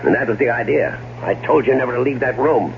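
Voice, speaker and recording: A man's voice: medium pitch, quick pace, creaky, lightly acted. An American actor playing a scheming, irritable, sarcastic man in a 1970s radio drama, stammering when cornered. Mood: angry, sarcastic. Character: scheming, irritable man, bitterly sarcastic older-brother type. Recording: muffled, noisy old radio broadcast recording.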